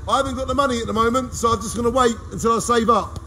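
A man's voice: very high-pitched, steady tone, very fast, pushed and bright, slight background noise. A middle-aged man filming himself on his phone at an outdoor car wash, chatting to viewers like a showman. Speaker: vlogger. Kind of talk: cheerful vlog commentary. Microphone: phone held at arm's length.

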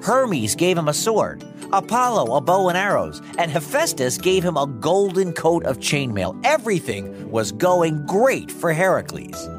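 A man's voice: on the high side, very animated, unhurried, music in the background. A man telling a scripted story for children in a conversational, dramatic way with wry, casual asides. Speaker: storyteller, podcast host.

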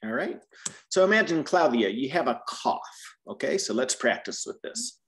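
Patient teacher, animated pitch, corrects gently and repeats phrases. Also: normal speed. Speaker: English teacher. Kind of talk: tutoring a student.

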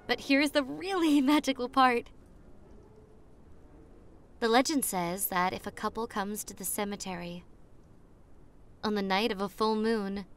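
A young woman playing a popular college girl on a spooky romantic date, in an ASMR roleplay with a hushed, dreamy manner and dramatic pauses. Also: on the high side. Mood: playful, hopeful. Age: young adult.

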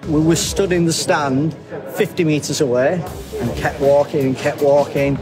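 A man's voice: fairly high pitch, fairly even intonation, measured pace. A man giving an informal testimonial. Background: loud music.

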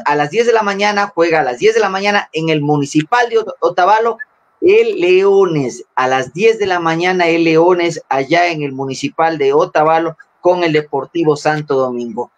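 A man's voice: fairly high pitch, moderate pace. A middle-aged man with an Ecuadorian accent, hosting a live sports talk show over a video call, chatty and repeating key phrases. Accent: Ecuadorian Spanish. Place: indoor room, video call. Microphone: webcam mic on a video call.

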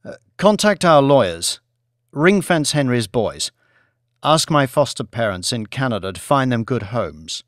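A man's voice: mid-range voice, big pitch swings, a little slow, a bit bright, dry recording, studio quality. A man voicing a male executive in an audiobook, terse and questioning, commanding yet uneasy. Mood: brisk, commanding. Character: Banks, newly powerful male executive, uneasy, irritated, commanding.

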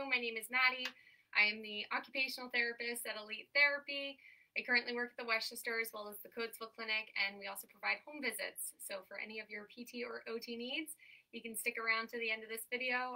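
A young woman talks through stretches with upbeat encouragement and counts each hold down slowly. Her voice is bright and a little high, with a stuffy, congested edge. She is heard from across a small room on a camera mic. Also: gravelly, far from the mic, rough recording. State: congested from allergies, breathing a bit heavily. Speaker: occupational therapist leading an exercise class. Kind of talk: guided workout instruction. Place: small room at home, in front of a banner backdrop.

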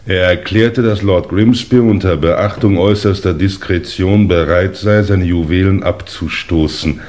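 An actor in a German crime radio play, playing a dutiful inspector reading a report in a formal, official manner. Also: male voice, sits low, a little slow, darkish tone.

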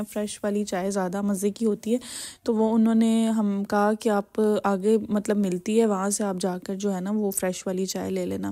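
A woman's voice: medium pitch, mellow. A young woman with a Pakistani Urdu accent narrating her travel vlog in a chatty, casual way, full of fillers. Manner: chatty, filler-heavy storytelling. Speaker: vlogger.

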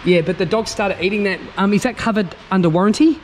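Cheeky Australian host, high voice, light shop noise behind. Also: male voice. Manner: cheeky, teasing, fast banter. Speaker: vlogger host. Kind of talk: casual joking chat. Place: large indoor garage and bike shop.

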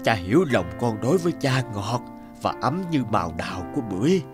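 A man voicing a wise, kindly but stern old father in a fairy-tale cartoon, slow and measured. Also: mid-range voice, big pitch swings, close to an everyday voice. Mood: tender. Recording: music behind the voice.

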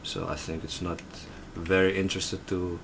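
A man's voice: low voice, flat delivery, normal speed, creaky, noisy background. A man with a Dutch accent giving casual unboxing commentary, thinking aloud in a hesitant way. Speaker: tech reviewer. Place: indoors at a desk in a room.

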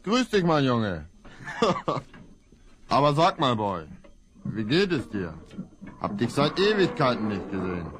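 A hearty small-town blacksmith greets an old friend in a jovial, familiar tone. A faint hiss sits under the old recording. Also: male voice, medium pitch, big pitch swings, slow pace, long pauses. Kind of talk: 1970s radio play acting.

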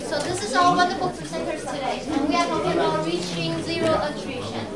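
A woman's voice: very high voice, fairly even intonation, brisk, a loud crowd. A woman acting as session monitor, making informal and slightly flustered announcements in a large lecture room. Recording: echoey hall, recorded from far, loud audience noise.

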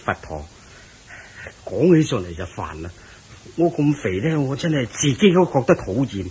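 A man's voice: mid-range voice, very animated, normal speed, darkish tone, a little hiss or hum, close to an everyday voice. A man with a Hong Kong Cantonese accent playing a fat, gluttonous, jovial king in a radio drama, exclaiming and expansive. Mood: troubled.

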